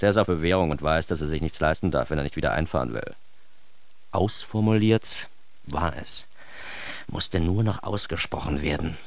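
Low-voiced, wry young bookworm. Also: male voice, a bit bright, no echo. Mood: casual, mischievous. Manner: wry, self-mocking, precise. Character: naive, bookish young man recounting his life with comic earnestness. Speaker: first-person narrator. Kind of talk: radio drama narration.

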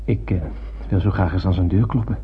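Earnest young spaceship sailor, muffled recording. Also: male voice, sits low, fairly even intonation, a little fast. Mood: eager, unsettled. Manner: earnest, poetic, literary delivery. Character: eager young male spaceship sailor, awestruck and poetic. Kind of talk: radio drama acting. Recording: muffled radio play, clear background hum.

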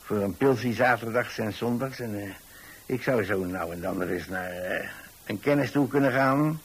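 A man's voice: fairly low pitch, moderate pace, slightly rough. An actor playing a mild, rambling old widowed pensioner with a Dutch accent, hesitantly telling his story in a radio play. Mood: pleased, musing. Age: 60s or older.

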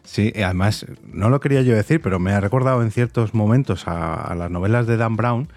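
A man's voice: fairly low pitch, a little fast, vocal fry, darkish tone, no room sound, faint music behind. A man with an accent from Spain hosting a conversational interview in an enthusiastic manner. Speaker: podcast host and interviewer.

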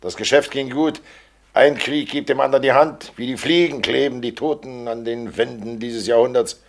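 An actor playing Death as a fat, cynical undertaker with a sardonic, matter-of-fact manner, in a 1940s German radio play. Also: male voice, mid-range voice, normal speed, creaky, lightly acted. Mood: jovial, callous. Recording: old 1940s radio recording.

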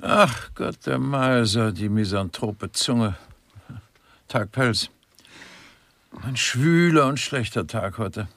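An actor in a radio play playing a grumpy, misanthropic old critic, pedantic and world-weary. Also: male voice, medium pitch, slow pace, pauses often, a bit bright, no room sound. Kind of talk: German radio play acting.

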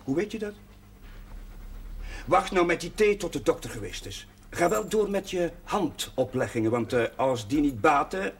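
Animated, higher-voiced village chaplain. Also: male voice, a little hiss or hum. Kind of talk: television drama acting.

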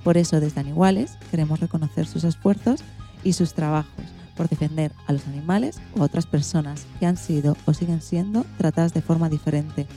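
A woman with a Spanish accent from Spain hosts an informal radio programme. Her voice sits low and is very dark and soft-edged. She explains things to families, with faint music underneath. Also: a little fast. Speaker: radio show host.

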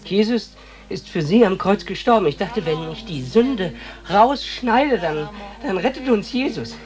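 Halting, disturbed religious fanatic man. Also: high-pitched, lively intonation, darkish tone. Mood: confessing, fervent. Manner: halting, repetitive, defensive. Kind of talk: German radio play acting.

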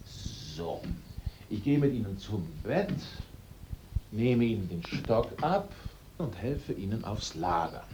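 A man acting a sharp-witted amateur sleuth in a German radio drama, theatrical and assertive as he sets out his case step by step. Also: lowish voice, sing-song, slow pace, background noise. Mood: explanatory.

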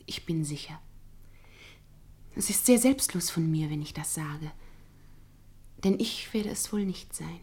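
Radio play casting: frank young country girl, breathy husky voice, quietly resigned. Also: deep voice, a little slow, pauses often, exaggerated voice. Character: candid young village woman, actress in touring troupe. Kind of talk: quiet, intimate German radio play acting.

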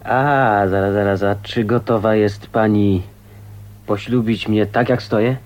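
An actor playing an easygoing, scruffy bachelor bridegroom in a radio drama, jovial and teasing, with hesitant little fillers. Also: male voice, fairly low pitch, steady tone, a little slow, a little mellow, natural voice. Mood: teasing, sincere. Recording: noisy 1980s radio recording.

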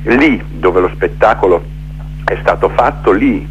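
A man's voice: lowish voice, animated, a little slow, highs cut off, slight background noise. A middle-aged man telling his story conversationally in a radio interview, reflective, building up lists with repeated phrases. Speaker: theatre maker being interviewed.

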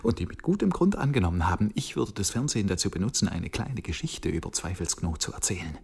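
A low, gravelly police detective in a radio crime play. He talks at length with biting irony and swings animatedly through his phrases, with faint noise around him. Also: very animated, quick pace, a little mellow, exaggerated voice. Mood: sardonic. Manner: ironic, wordy, needling. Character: sardonic male chief police detective, talkative under threat. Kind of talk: radio crime drama acting.

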